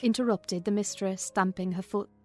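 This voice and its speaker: A woman's voice: medium pitch, steady tone, normal speed, a little mellow, soft background music, close to an everyday voice. A woman playing a sensible, composed household servant, narrating a classic novel as scripted storytelling.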